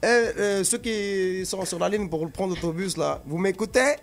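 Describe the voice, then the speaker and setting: A man's voice: high-pitched, measured pace, creaky, over a noisy crowd. A man, a guest on a radio interview, playful and joking, laughing often and mixing in English phrases. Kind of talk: casual chat.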